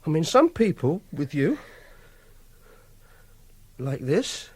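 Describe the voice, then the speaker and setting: A man's voice: on the high side, very animated, takes it slowly, darkish tone, breathy edge, no echo, full character voice. An actor playing an awkward, repressed, obsessive young man with an English accent, hesitant and defensive in a radio drama. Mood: pleading, halting. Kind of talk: BBC radio drama acting.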